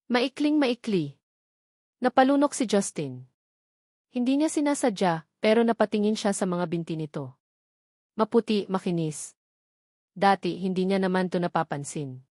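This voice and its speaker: A woman narrating a scripted romance story in a steady storytelling manner, slipping English phrases into her narration. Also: medium pitch, moderate pace, pauses often, no echo, clean studio sound.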